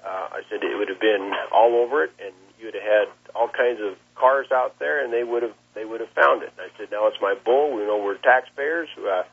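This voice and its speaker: Man, husky voice, plain-spoken, halting with repeated words, muffled telephone sound. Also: lowish voice. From Nebraska, United States. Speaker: cattle rancher being interviewed. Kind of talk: interview account, conversational. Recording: muffled, lo-fi phone interview line.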